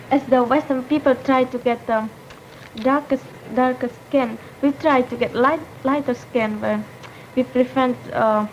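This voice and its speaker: High-voiced young woman, dull old sound. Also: steady tone, a little slow, slight background noise. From Indonesia. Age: young adult. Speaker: student debater.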